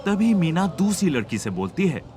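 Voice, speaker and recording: A man's voice: a little high, sing-song, normal speed, warm tone, a little hiss or hum. A man reading scripted narration for a cartoon story. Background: background music.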